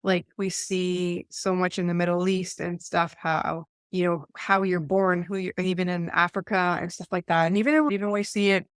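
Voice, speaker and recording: A woman's voice: lowish voice, clear, resonant tone, dry recording, studio quality. A woman with an Eastern Ontario Canadian accent chatting casually as a podcast co-host, in a rambling, anecdotal way. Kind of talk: casual conversational discussion.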